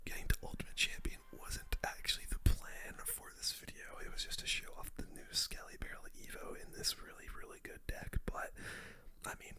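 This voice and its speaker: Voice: very high voice, bright, forceful tone. A game streamer whispering casual, slangy commentary in an ASMR style. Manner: whispering throughout; casual, slangy gamer talk. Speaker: gaming video host.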